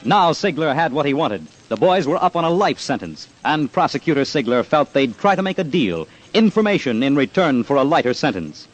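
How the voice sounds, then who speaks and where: A man's voice: on the high side, big pitch swings, a little fast, few pauses, dull audio. A man narrating an old-time radio crime documentary in a dramatic, punchy, emphatic scripted style. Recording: old radio with loud music.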